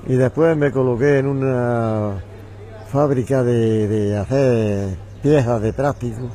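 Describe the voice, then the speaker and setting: A man's voice: mid-range voice, steady tone, slow pace, warm tone. An older man, a returned emigrant, recounting his working years in a plain, matter-of-fact way outdoors. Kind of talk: casual street chat.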